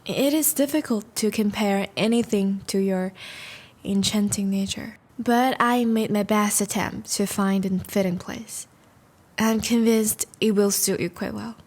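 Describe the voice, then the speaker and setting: A woman playing a charming, courtly vampire suitor in a romantic audio roleplay, speaking formally and gently to the listener. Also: mid-range voice, steady tone, a little slow, natural voice. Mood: charmed, courting. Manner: formal, courtly, gentle phrasing with soft sighs.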